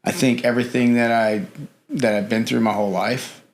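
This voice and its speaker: A man's voice: mid-range voice, steady tone, moderate pace. A middle-aged man talking as a podcast guest in casual interview chat, rambling with lots of fillers and "you know".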